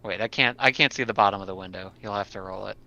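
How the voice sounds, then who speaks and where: A man's voice: fairly low pitch, fast talker, gravelly, bright, forceful tone, dull audio. A man chatting casually as a player in a tabletop roleplaying game, with a wry, self-deprecating, sweary way of talking.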